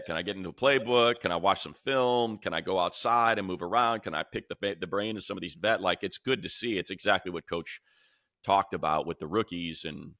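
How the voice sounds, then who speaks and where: A man hosts a sports talk show in a very low voice with a bright, forceful edge. The sound is dry and close. He speaks conversationally, slipping into other people's words as he makes his points. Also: no room sound. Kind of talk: casual sports talk and commentary.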